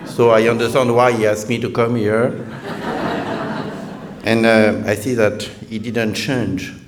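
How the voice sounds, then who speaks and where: A middle-aged man with a French accent, a priest giving a lecture-style sermon at a conference, measured and halting with frequent restarts and dry, self-deprecating humor. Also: on the low side, little pitch movement, background noise.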